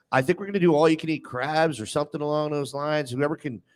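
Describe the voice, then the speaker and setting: A man's voice: a little high, fast talker. A man hosting a live sports radio show with casual, teasing banter. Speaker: sports talk radio host.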